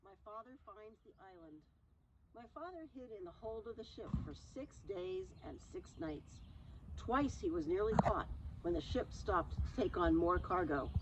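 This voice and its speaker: A woman reading a children's story aloud in an expressive, chatty manner, slipping in casual asides and simple explanations. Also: lowish voice, lo-fi sound, heavy background noise.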